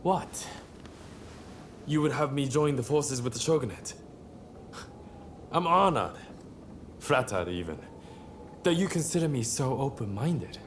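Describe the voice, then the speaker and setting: A man's voice: medium pitch, expressive, a little slow, pauses often, a little hiss or hum, lightly acted. A man playing a cocky, flamboyant rebel samurai and gambler in a video game cutscene, with a sardonic, theatrical drawl. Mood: mocking.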